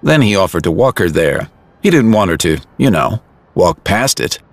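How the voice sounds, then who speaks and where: A man's voice: low voice, sing-song, normal speed, no echo. A man giving scripted, vivid storytelling narration for an audio drama.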